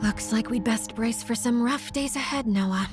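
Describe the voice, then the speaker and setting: A woman's voice: fairly high pitch, moderate pace, over music, close to an everyday voice. A woman playing a hot-headed, spirited young commander in a video game cutscene, speaking with vehement emphasis. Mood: resigned.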